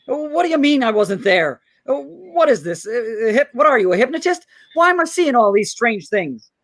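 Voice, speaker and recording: A bewildered, frantic family man acted by a man stammers through an old-style radio drama. His pitch swings widely, and the sound is dry and close. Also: very animated, moderate pace, fairly bright tone, dry recording, full character voice. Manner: stammering, agitated. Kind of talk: old-time radio play acting.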